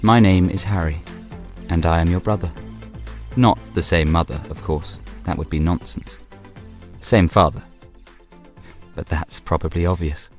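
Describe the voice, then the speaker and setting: A man's voice: low voice, steady tone, unhurried, long pauses, darkish tone, faint music behind. An actor with a British accent playing a self-assured, scheming young man, confiding his story in a radio drama monologue with arch, knowing asides. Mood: wry, introductory.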